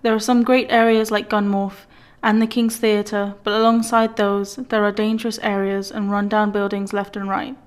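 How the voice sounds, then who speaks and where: Flat-toned 16-year-old speaker. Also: medium pitch, moderate pace, clear, resonant tone. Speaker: young film participant. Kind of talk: personal testimony for a short film.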